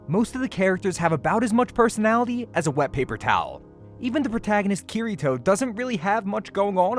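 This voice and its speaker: Animated, higher-pitched man reviewing anime. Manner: sarcastic, exasperated, emphatic. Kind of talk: scripted video essay commentary with casual asides.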